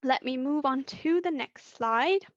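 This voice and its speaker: A woman giving an online training session, losing her voice, speaking deliberately and drawing out key words. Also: sits high, no room sound, lo-fi sound. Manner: deliberate, drawn-out letter by letter. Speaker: webinar presenter. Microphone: computer mic on a video call.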